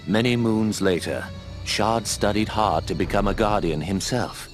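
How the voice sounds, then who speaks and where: An older man playing a wise, gruff old owl mentor in a video game, speaking in a measured, formal way. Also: fairly low pitch, soft background music, lightly acted. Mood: solemn storytelling. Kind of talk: scripted dramatic narration and game dialogue.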